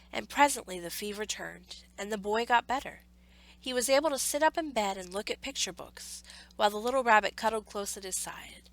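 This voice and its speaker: A woman storyteller narrating a children's story in a measured, expressive read-aloud manner. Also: mid-range voice, low-quality recording.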